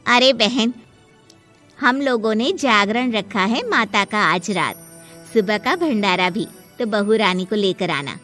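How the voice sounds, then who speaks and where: A woman's voice: medium pitch, takes it slowly, a bit bright, soft background music. A woman playing a friendly, generous neighbour in a cartoon story, chatty, familiar and insistent. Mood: inviting.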